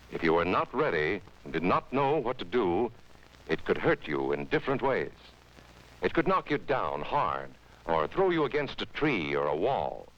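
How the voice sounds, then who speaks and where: An American man narrating a 1950s educational film, speaking to children in an instructive, emphatic manner. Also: on the low side. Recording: dull vintage 1950s film soundtrack.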